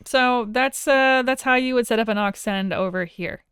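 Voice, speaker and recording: A woman teaching audio software in a casual, conversational screen-share tutorial, informal and enthusiastic about her tools. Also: on the high side, normal speed, smooth.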